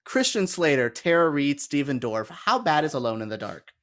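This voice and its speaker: A man's voice: fairly high pitch, very animated, normal speed, a bit bright. A man in his thirties chatting casually and with strong opinions as a podcast panelist, often interrupting himself. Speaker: podcast panelist discussing bad films.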